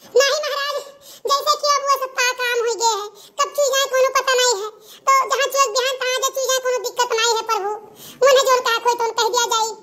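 A woman's voice: very high voice, fairly even intonation, quick pace, bright, forceful tone. A woman voicing a meek young village mother, pleading humbly in a cartoon. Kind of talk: cartoon voice acting.

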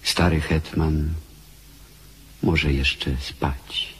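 A man with a very husky voice narrating a radio play as a grave chronicler. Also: extremely low pitch, level tone, extremely slow, slight background noise, exaggerated voice.

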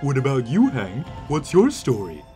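A man's voice: medium pitch, huge pitch swings, moderate pace. A man voicing a mischievous drowned ghost in a cartoon fairy tale, dramatic and plaintive, with music behind him. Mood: plaintive, teasing. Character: mischievous drowned male ghost who floats. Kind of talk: animated fairy tale acting. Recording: voice over loud background music.

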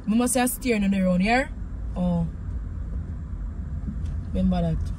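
A young woman with a Caribbean accent talks in casual, everyday speech. Her pitch is average for a woman. She is recorded inside a car, with loud vehicle and road noise around her. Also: unhurried, far from the mic. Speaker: passenger being pranked by partner. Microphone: dashboard camera.

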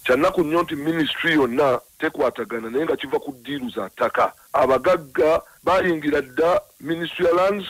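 A man's voice: fairly high pitch, brisk, dry recording, poor audio. Stammering, emphatic male legislator. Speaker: member of parliament. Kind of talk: interview soundbite.

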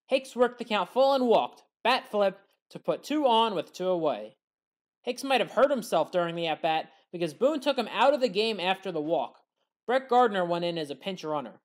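A woman giving scripted play-by-play commentary over sports highlights as a voice-over. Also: big pitch swings, moderate pace.

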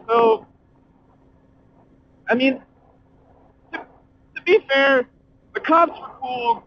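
A young man chatting casually and excitably as a motorcycle vlogger, swearing and using slang as he rambles while riding. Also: very high voice. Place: outdoors, riding a motorcycle at night. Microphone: helmet communicator mic inside helmet. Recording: muffled helmet intercom mic, clear background noise.

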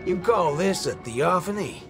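A man voicing a gruff, cocky cowboy gunslinger in a video game, with a swaggering drawl. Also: sits high, expressive, unhurried, over loud music. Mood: irritated.

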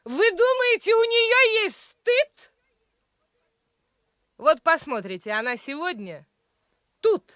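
A woman's voice: very high voice, sing-song, takes it slowly, long pauses, a bit bright, dry recording, studio quality, heavily acted. An actress playing a scandalized, gossiping village woman in a radio play. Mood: scornful. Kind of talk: Soviet radio play acting.

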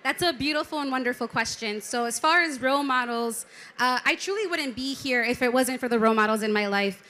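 A woman's voice: sits high, brisk, bright, forceful tone. A young woman, born in Mexico and raised in Detroit, a city council member, speaking enthusiastically on a conference panel into a handheld mic. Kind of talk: panel discussion answers. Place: conference stage, indoors.

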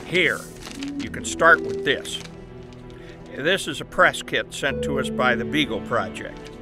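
Male actor as pushy newspaper boss, confident, mid-pitched voice, audio drama, clear music behind. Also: lively intonation, a little slow, natural voice. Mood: brisk enthusiasm. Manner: confident, explanatory. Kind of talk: family audio drama acting.

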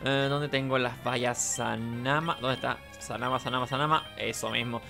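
A man's voice: mid-range voice, fairly even intonation, moderate pace, gravelly. Chilean man muttering game commentary over music. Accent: Chilean Spanish. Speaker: gaming video host. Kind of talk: casual playthrough commentary. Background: video game music behind the voice.

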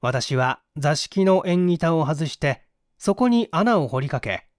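A man delivers dramatic story narration in a fairly high male voice. His intonation is very lively, with measured theatrical phrasing. The sound is dry and close, free of room echo. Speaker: audiobook reader and small-theatre actor.

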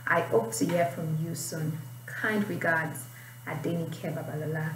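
A woman's voice: deep voice, fairly even intonation, a little slow. Young nigerian lady giving an informal lesson, echoey room, bit of background hiss. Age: young adult. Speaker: IELTS writing teacher. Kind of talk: informal tutorial, explaining and reading aloud. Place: small indoor room. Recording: roomy, distant mic, faint noise.

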